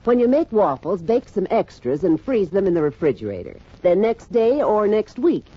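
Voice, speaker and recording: Woman, scripted radio household advice, lively intonation, slightly rough voice, muffled vintage recording. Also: medium pitch, moderate pace. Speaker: homemaking expert giving tips. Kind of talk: scripted 1950s radio hint. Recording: dull old radio recording.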